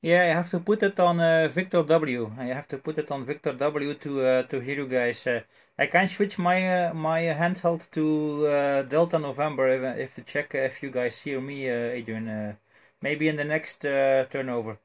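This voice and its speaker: Guy doing ham radio chat, slightly high voice, kinda hesitant and stop-start. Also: moderate pace. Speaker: amateur radio operator. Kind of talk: unscripted ham radio exchange with spelled call signs.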